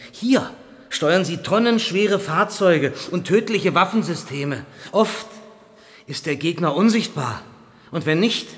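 An actor playing a stern, defensive and cynical army colonel in a radio drama, with sardonic, clipped official phrasing. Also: male voice, a little high, long reverb tail, lightly acted. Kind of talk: German radio drama dialogue.

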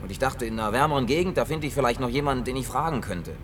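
An actor dubbing an awkward, gruff man wandering alone after the end of the world, muttering curses. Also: medium pitch, lively intonation, very fast, a little hiss or hum, lightly acted. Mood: bewildered. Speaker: Jim, survivor on foot. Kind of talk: German film dub.

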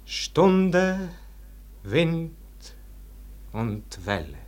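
A man acting a weary, melancholy, proud nobleman in a 1940s German radio verse drama, declaiming in measured, swelling and hushed phrases. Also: on the high side, very animated, a little hiss or hum, exaggerated voice. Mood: world-weary, resigned.

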